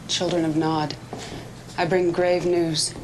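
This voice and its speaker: An actress playing a stern, zealous military commander in a video game cutscene, speaking with grave urgency. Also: female voice, fairly low pitch, level tone, slow pace, a little hiss or hum, low-quality recording. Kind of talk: dramatic game cutscene acting.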